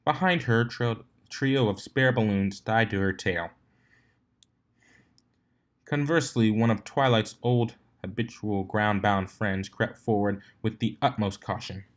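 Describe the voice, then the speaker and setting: A man's voice: lowish voice, measured pace. A man reading a fan fiction story aloud as its narrator, in scripted storybook style.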